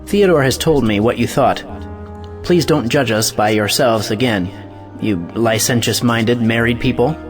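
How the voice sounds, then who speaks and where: A man acting as a scornful, idealistic scientist in an audio play. He declaims indignantly in rhetorical bursts, mid-pitched, with faint music behind him. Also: unhurried, lightly acted.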